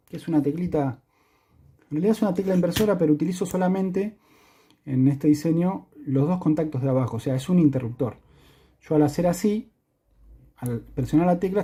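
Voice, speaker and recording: An Argentine man gives a hands-on tutorial in a husky, mellow voice. He explains things practically and keeps checking that the listener follows. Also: fairly high pitch. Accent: Argentine Spanish. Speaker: electronics tutorial presenter.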